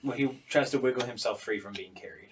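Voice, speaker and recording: A man's voice: mid-range voice, quick pace, slight background noise. A man improvising narration as the game master of a casual tabletop role-playing session.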